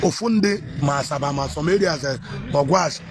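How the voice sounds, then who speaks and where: A Nigerian man conducting a street interview, asking questions briskly and nodding along with short affirmations. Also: on the high side, noisy background. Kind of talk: street interview, mixing English and Igbo.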